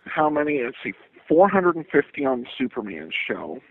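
A man being interviewed remotely, answering casually in a halting way with frequent uhs. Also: mid-range voice, very animated, dry recording. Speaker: podcaster, interview guest. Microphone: remote call. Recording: tinny, dry phone-like line.

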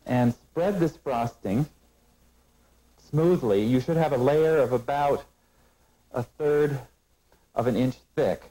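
Calm middle-aged man teaching baking. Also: mid-range voice, slow, long pauses, low-quality recording. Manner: calm step-by-step instructive delivery. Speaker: cooking show host. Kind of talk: scripted cooking demonstration. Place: studio kitchen set.

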